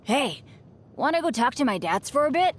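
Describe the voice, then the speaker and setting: A woman's voice: high-pitched, big pitch swings, moderate pace. A woman voicing an upbeat, unlucky teenage boy adventurer in a video game, earnest and boyish. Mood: cheerful. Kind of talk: video game character voice lines. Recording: studio voice over background music.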